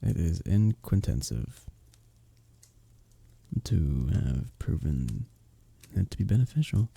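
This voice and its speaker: Man acting as kindly village innkeeper, very mellow, husky, soft-spoken, courtly phrasing, earnest, intimate ASMR fantasy roleplay. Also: low-pitched, very animated, heavily acted. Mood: flattered, fond. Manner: formal old-world phrasing, soft-spoken, measured. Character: gentle, flattered medieval village innkeeper man, fond and earnest. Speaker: audio roleplay performer. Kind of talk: ASMR roleplay, intimate one-sided conversation.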